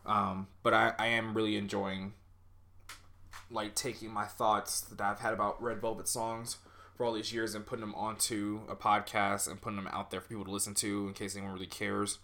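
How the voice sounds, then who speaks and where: Low-voiced guy rambling on his own podcast, lots of ums, kinda bright and forceful tone. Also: little pitch movement, normal speed, gravelly. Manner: rambling, self-deprecating, lots of ums and likes. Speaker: podcast host. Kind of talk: casual solo podcast monologue.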